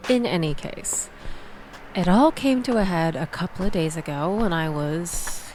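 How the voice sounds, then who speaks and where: A woman's voice: fairly low pitch, slow pace, pauses often, darkish tone, slight background noise. A woman playing a chatty, exasperated young woman in a casual audio roleplay, rambling and self-deprecating as she tells her story. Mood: self-deprecating, amused. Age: young adult.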